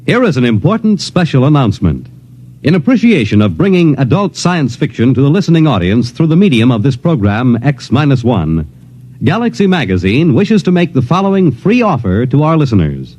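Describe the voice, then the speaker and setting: An American man reading a scripted 1950s radio promotional announcement in a formal, clearly enunciated manner. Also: mid-range voice, darkish tone.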